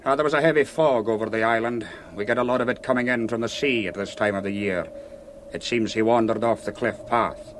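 An actor playing an older, hospitable but probing Scottish island minister in a radio drama, speaking with courteous hesitations. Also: male voice, on the low side, a little fast, noisy background, lightly acted. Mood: courteous, solicitous.

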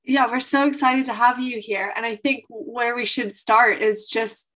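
Woman cohost on a chatty podcast, rambles a bit, kinda high voice. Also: steady tone, measured pace, fairly bright tone. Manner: loose, rambling questions with fillers. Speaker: podcast co-host. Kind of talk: casual podcast chat.

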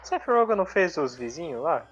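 A man's voice: a little high, very animated, a little fast, fairly bright tone, dull audio. A young man with a Brazilian Portuguese accent who chimes in with short, laid-back remarks on a casual podcast. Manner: brief, laid-back interjections. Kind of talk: casual chat.

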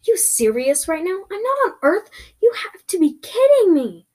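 Woman acting a feisty, high-pitched sarcastic girl. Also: expressive, moderate pace, natural voice. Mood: freaked out. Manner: exclamatory, indignant, sassy. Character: feisty, sarcastic girl lost in another world.

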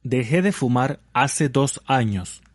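A man reading translated phrases for language learners in an even, measured way. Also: mid-range voice, dry recording, studio quality.

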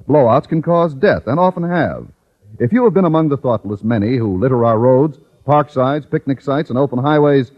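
An American man announcing in an earnest, emphatic, scripted 1950s radio style, as for a public service message. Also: mid-range voice. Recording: muffled old radio broadcast.

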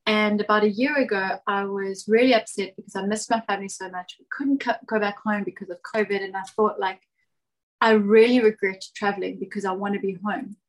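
A young woman in her twenties talking casually as an interview guest, reflective and animated as she tells stories. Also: mid-range voice, fairly quick, rough recording.

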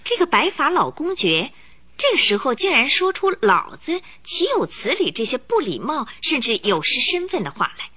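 A woman narrating a radio drama with expressive storytelling. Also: high-pitched, normal speed. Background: music.

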